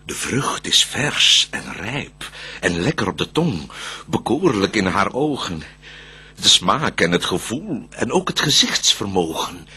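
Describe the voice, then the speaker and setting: A man's voice: on the low side, very animated, measured pace, sharp tone, slightly rough, heavily acted. A man playing a cunning, calculating and defiant devil in a classical verse radio play, speaking with theatrical emphasis.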